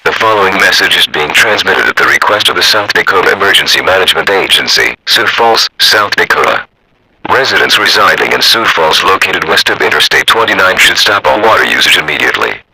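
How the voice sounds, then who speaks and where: A deep, robotic man's voice delivers formal emergency alerts. It is very sharp and forceful, with a gravelly crackle to it. The delivery stays scripted and flat, like an automated broadcast. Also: brisk.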